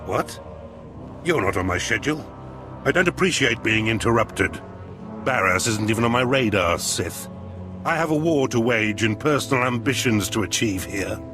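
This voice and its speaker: A man plays a haughty game-villain duke with a lively, swooping delivery. His speech is formal and pompous, that of a slippery, scheming courtier. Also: fairly low pitch, normal speed, over music, close to an everyday voice. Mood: haughty irritation. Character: haughty, scheming male noble Sith duke. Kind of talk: video game cutscene acting.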